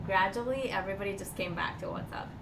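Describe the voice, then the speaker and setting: A woman's voice: medium pitch, fast. A woman from Costa Rica answering interview questions casually as a podcast guest, with thoughtful, hesitant phrasing. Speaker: podcast guest, design strategist. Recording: distant mic, echoey, clearly noisy background.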